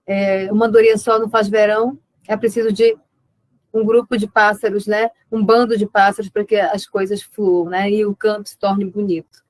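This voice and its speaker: A woman's voice: mid-range voice, flat delivery, normal speed, a little mellow, no room sound, low-quality recording. A woman with a northern Brazilian accent talking conversationally as a guest in a web conference, reflective and often repeating words as she searches for the next one. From Amazonas, Brazil (Alto Solimões).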